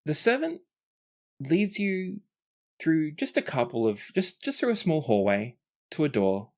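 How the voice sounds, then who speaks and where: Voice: mid-range voice, big pitch swings, measured pace. A game master and host narrating a tabletop roleplaying podcast in a descriptive way, sometimes self-correcting.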